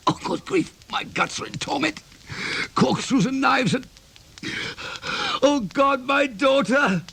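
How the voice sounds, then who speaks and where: A man's voice: very high voice, expressive, slow pace, gravelly, a bit bright, full character voice. An actor playing a sentimental, blustering middle-aged English writer and father in a radio play, with a wistful, florid, theatrical delivery. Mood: anguished, indignant. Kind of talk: radio play acting, reading letters aloud. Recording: music behind the voice.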